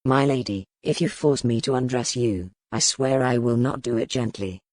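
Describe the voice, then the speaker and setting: A man's voice: medium pitch, normal speed, darkish tone, no echo, lightly acted. Commanding medieval duke, acted by a man. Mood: quiet menace. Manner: commanding, formal period speech. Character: stern, brooding medieval duke, commanding warrior husband. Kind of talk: dramatic audiobook character acting.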